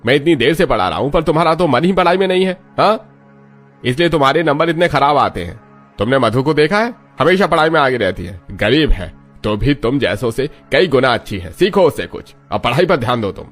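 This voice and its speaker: A man's voice: mid-range voice, big pitch swings, fairly quick, fairly bright tone, faint music behind. A man voicing a stern male college professor in a cartoon story, emphatic and admonishing. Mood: scolding. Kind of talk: Hindi cartoon moral story acting.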